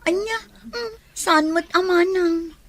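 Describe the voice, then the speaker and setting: A woman's voice: very high voice, takes it slowly, fairly bright tone, full character voice. A woman with a Filipino accent playing an evasive, lovelorn young woman in an Ilocano audio drama. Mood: flustered, defensive.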